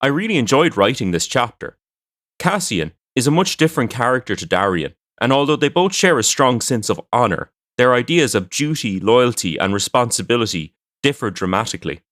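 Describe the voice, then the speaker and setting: A man's voice: medium pitch, sing-song, fairly quick, a bit bright, dry recording, studio quality. A man presenting a story podcast in a scripted narration style, as host and storyteller. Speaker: podcast host and fiction writer. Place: indoors at a desk at home.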